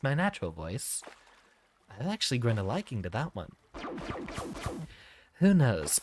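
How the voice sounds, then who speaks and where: A man livestreaming in a practiced professor persona, speaking from the back of the throat with slight rasp, a soothing feminine lilt, formal wording and sentences that fall at the end. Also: mid-range voice, slight background noise. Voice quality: slight fry and raspy gravel on vowels; voice placed at back of throat, raised above natural register. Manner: sentences swoop up and down, dropping at the end. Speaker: game streamer.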